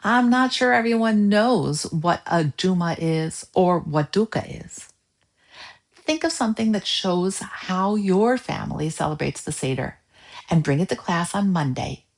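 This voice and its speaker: A woman's voice: on the low side, animated, a little slow. A woman voicing a kind, patient teacher of young children, explaining and encouraging.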